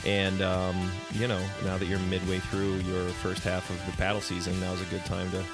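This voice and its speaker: Low-voiced, wry joking man. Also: monotone, normal speed, over music. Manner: wry, joking, laughs often. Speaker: podcast co-host. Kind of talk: casual chat.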